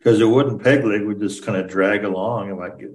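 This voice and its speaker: A man's voice: on the low side, fairly even intonation, a little fast, a little mellow. A man co-hosting a podcast in casual, joking conversation. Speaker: podcast co-host. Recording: roomy, distant from the mic.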